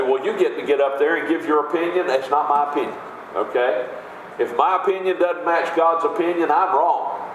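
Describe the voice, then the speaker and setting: Man, pastor, booming voice, emphatic sermon, rhetorical questions, reverberant room. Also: slight background noise. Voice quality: big booming voice. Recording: echoey room with faint ambience.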